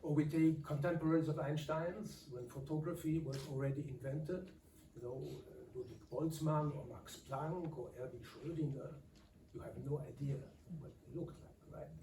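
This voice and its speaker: An older man, moderating a public talk in a lecture hall in a halting, pausing way. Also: fairly high pitch, steady tone, noisy background. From Austria. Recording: echoey hall from far off.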